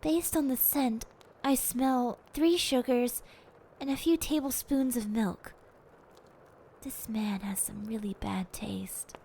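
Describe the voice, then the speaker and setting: A woman plays a sweet, timid young female assistant in an audio roleplay. Her voice is high yet mellow, and she talks slowly, meekly and apologetically, with noise audible behind her. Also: breathy edge, full character voice, poor audio. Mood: curious, meek. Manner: hesitant, meek.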